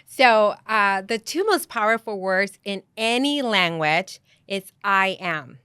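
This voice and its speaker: Woman from Mexico, native Spanish speaker, lively coach who laughs easily, mid-pitched voice, dry sound. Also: takes it slowly, clear, resonant tone, no echo. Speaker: guest, business coach. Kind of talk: casual podcast interview.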